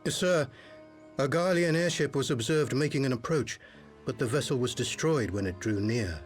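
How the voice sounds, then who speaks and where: A man's voice: mid-range voice, faint music behind, lightly acted. Man playing an earnest, dutiful fantasy soldier. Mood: earnest, formal. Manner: formal, measured, earnest. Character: dutiful male soldier giving formal military reports. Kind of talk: fantasy game voice acting.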